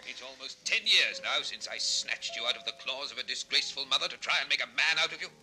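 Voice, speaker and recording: A man's voice: medium pitch, fast talker, bright, forceful tone, faint music behind, low-quality recording. A man playing an embittered, deaf composer uncle in a vintage children's record drama, querulous, repetitive and given to abrupt outbursts. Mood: indignant, scornful. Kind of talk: 1960s children's record radio-play acting.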